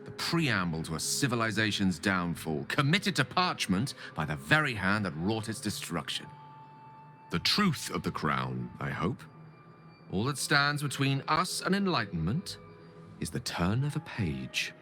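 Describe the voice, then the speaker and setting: A learned male wizard with a hunger for godlike power, in a fantasy video game. His voice is bright and forceful, with lively swings of pitch. He phrases things grandly and pauses for drama, over faint music. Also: full character voice. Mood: reverent. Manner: theatrical, erudite, measured phrasing with dramatic pauses. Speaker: game character, wizard companion.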